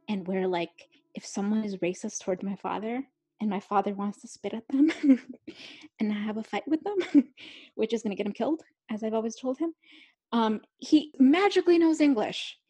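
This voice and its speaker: A woman's voice: mid-range voice, mellow, slightly rough. A young adult woman author talking candidly and rambling, with lots of filler words and laughing asides. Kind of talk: candid conversational talk.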